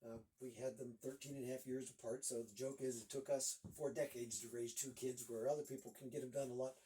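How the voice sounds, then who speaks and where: A man's voice: mid-range voice, little pitch movement, fast talker, dark tone, distant mic, low-quality recording. A man in his sixties from Northeast Ohio, a guest author chatting casually in an interview and rambling with lots of fillers.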